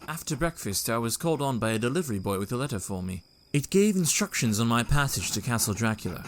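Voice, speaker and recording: An actor playing a polite, proper young Victorian gentleman who speaks in a formal, courteous way. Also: mid-range voice, slight background noise, close to an everyday voice. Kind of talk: audio drama acting and journal narration.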